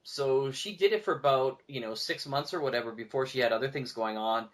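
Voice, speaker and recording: A man's voice: medium pitch, brisk. Chatty man telling stories, mid-range voice. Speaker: podcast guest, audio drama producer. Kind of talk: casual conversational storytelling.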